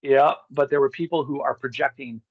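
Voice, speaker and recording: A man's voice: mid-range voice, fairly even intonation, fairly quick, husky, dry recording, narrow, tinny audio. A middle-aged man telling personal stories in a candid, rambling, profane way as an interview guest. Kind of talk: casual personal storytelling.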